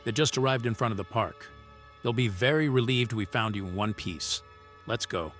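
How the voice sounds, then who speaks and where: An actor playing a stern but caring police officer in a horror audio drama. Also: male voice, on the low side, moderate pace, a bit bright, soft background music, close to an everyday voice. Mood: stern, reassuring.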